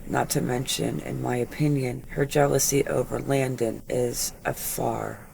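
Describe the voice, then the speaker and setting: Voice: medium pitch, steady tone, a little slow, vocal fry, a little mellow, slight background noise, low-quality recording. A true crime channel host giving casual, opinionated commentary with lots of filler words. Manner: chatty, filler-heavy, opinionated.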